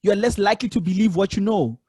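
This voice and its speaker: A South African man hosting a podcast, talking conversationally and explaining his points in a measured way. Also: high voice, mellow, husky. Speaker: podcast host. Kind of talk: casual interview conversation.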